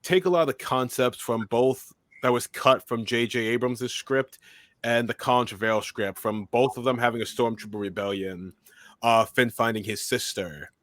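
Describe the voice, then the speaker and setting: Monotone man, dry close sound. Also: mid-range voice, moderate pace, no echo. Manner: monotone, steady delivery. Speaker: guest filmmaker and commentator. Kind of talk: casual interview answers.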